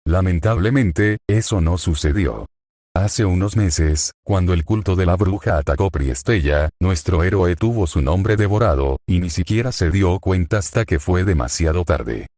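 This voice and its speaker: Deep-voiced man reading a fan story. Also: animated, moderate pace, darkish tone, no echo, studio quality. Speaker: fanfic narrator.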